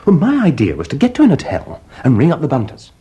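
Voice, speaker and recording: A blustering, upper-class English gentleman in a radio farce. His voice is very husky and swoops about as he grumbles, flustered and dryly facetious. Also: mid-range voice, huge pitch swings, fast, full character voice. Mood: petulant. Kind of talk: stage farce radio acting.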